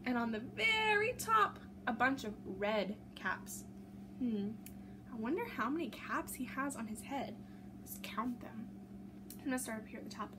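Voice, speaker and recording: Woman, high voice, unhurried pace, expressive storybook read-aloud, teacherly asides, noticeable background noise. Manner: expressive, simple teacherly explaining. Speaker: storybook reader for children.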